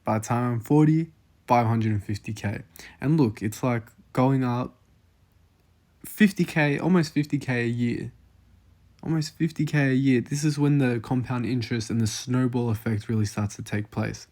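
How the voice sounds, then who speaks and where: A young Australian man in his twenties talks casually through figures on his screen. He thinks aloud, full of "um" and "like". His voice sits at an ordinary middle pitch. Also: moderate pace, darkish tone. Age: 20s. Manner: casual, filler-heavy, thinking aloud over numbers. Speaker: investing vlogger. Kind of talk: casual screen-share walkthrough.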